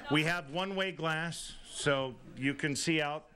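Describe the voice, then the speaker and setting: A man's voice: on the high side, a little slow, crowd noise. A middle-aged man reporting live on location with a handheld mic, upbeat and joking as he shows people around. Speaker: field reporter. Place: indoor renovated building.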